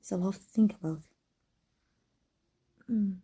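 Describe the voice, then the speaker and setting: A woman playing a guarded but tender villain in an intimate ASMR roleplay, speaking in a halting, emotional, breathy way. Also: mid-range voice, dark tone. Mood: soft, affectionate. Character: tender, guarded villain woman confessing love to her rival. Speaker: voice actor.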